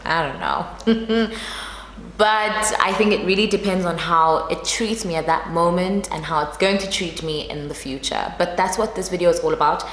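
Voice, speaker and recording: A woman's voice: fairly low pitch, normal speed, fairly bright tone. A young South African woman with a South African accent, musing to camera as a vlog host. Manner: musing, reflective, with fillers. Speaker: YouTuber and street interviewer. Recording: roomy echoing room with long reverb and faint noise.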